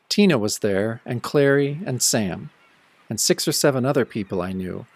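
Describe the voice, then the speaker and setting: A wry, world-weary man played by a male actor tells his own werewolf tale in the manner of an old radio horror show. His delivery is dramatic and brooding, with a sardonic edge, at a middling male pitch. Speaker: first-person narrator of an audio drama.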